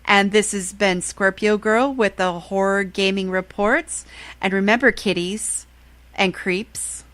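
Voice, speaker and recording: A woman's voice: medium pitch, fairly even intonation, measured pace, fairly bright tone. A woman presenting a podcast segment, reading a prepared countdown report from a script. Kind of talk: scripted countdown report on horror video games.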